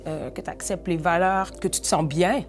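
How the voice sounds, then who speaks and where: A woman from Quebec speaking calmly in a documentary interview. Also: on the low side, normal speed, faint music behind. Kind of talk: calm testimony.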